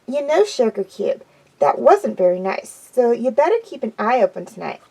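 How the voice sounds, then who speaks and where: A woman puts on a tough country farm pony mare from a storybook. She speaks with a drawl and talks in a blunt, folksy way. Her voice is lively and a touch high. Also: moderate pace, vocal fry, heavily acted, rough recording. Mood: gruff.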